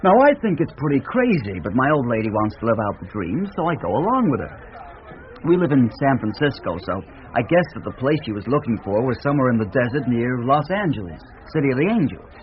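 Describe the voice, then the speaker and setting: An actor playing a laid-back, earnest American hippie drifter in a radio drama, hesitant and colloquial. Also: male voice, mid-range voice, sing-song, fast talker, lightly acted. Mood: earnest, wistful. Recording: tinny narrowband radio, faint noise.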